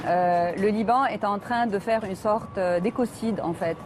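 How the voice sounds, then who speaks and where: A middle-aged woman from Lebanon, a guest on a television talk show, answering earnestly and pausing often with hesitations. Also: medium pitch, over music. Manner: earnest, many hesitations with euh. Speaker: environmental activist guest.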